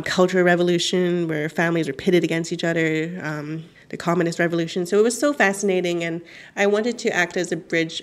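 Lively, laughing woman journalist guest. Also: lowish voice. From Chinese origin. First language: Cantonese. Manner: animated, laughs often, frequent "like" fillers. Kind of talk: radio interview.

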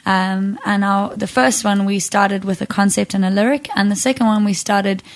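A woman's voice: mid-range voice, fairly even intonation, normal speed. A young woman from Zimbabwe, a singer-songwriter, talking casually in an interview, thoughtful and full of ums and restarts.

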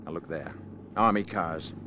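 An alien emissary in hiding, calm and earnest. His voice sits on the low side, in measured radio drama style. The old broadcast recording is plainly noisy. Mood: grave, uneasy. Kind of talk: 1950s American radio drama acting.

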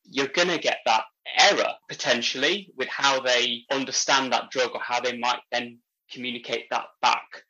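A man's voice: medium pitch, steady tone, normal speed, hardly any pauses, sharp tone, dull audio. A young man from Sheffield, a pharmacist guest on a podcast, telling stories with dry humour. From Sheffield, England. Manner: dry humour, thoughtful storytelling. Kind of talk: podcast conversation.

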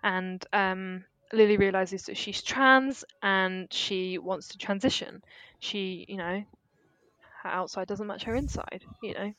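A woman's voice: mid-range voice, a bit bright, dry recording, rough recording. A woman co-hosting a podcast, chatting emphatically and casually about films. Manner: chatty, emphatic, quick to laugh. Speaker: podcast co-host. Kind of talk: casual film discussion.

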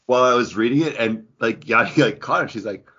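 A man's voice: medium pitch, fast talker, pushed and bright, husky. A man co-hosting a podcast in casual chat with friends, telling little anecdotes and quoting remembered exchanges. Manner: anecdotal, acts out remembered dialogue. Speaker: podcast co-host. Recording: dull, band-limited audio.

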